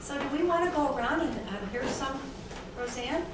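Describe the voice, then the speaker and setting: A woman's voice: high-pitched, animated, moderate pace. A woman hosting a small group event, introducing a speaker off the cuff and prompting listeners with short tag questions. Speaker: host introducing an author. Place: indoor event room at an arts center. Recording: very echoey, noisy room, distant microphone.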